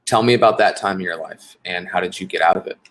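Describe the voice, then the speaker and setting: A man's voice: low voice, fast, a bit bright. A man hosting an interview, listening closely and asking long follow-up questions. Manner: attentive, frequent short backchannels. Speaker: interview host, job coach.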